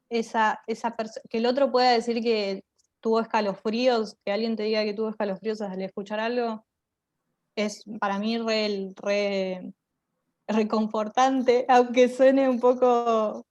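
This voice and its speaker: A woman's voice: a little high, a little mellow. A young woman with an Argentine accent talking in a reflective, enthusiastic way in a panel interview, as a drama teacher and performer. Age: young adult.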